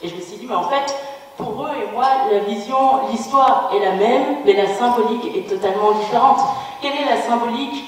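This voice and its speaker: A woman's voice: fairly high pitch, a little fast. Woman speaking earnestly in a hall. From Belgium. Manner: earnest, searching, many restarts and fillers. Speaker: conference participant. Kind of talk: public speech at a conference. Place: large parliament hall. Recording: reverberant hall, distant mic, faint room noise.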